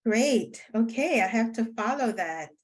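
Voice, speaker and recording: A woman in her thirties from Haiti, speaking reflectively and hesitantly as a panelist in an online discussion, with many pauses and fillers. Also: a little high, lively intonation. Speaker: panelist, deputy director.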